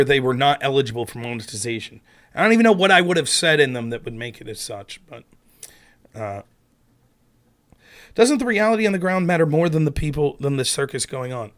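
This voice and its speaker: A man's voice: on the high side, fairly bright tone. A man from Pennsylvania hosting a casual livestream on a headset at home, grumbling to himself with mild curses and airing blunt political opinions.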